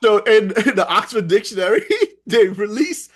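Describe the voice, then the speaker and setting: A man co-hosting a casual podcast chat, explaining things excitedly and laughing. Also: sits high, moderate pace. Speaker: podcast co-host.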